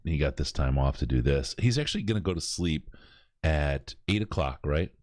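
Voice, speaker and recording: A man's voice: rumbling low, lively intonation, moderate pace, darkish tone, dry recording, studio quality. A middle-aged man from Michigan with a Michigan accent, hosting a podcast in casual, rambling chat with lots of restarts. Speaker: podcast host. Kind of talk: casual charity stream chat.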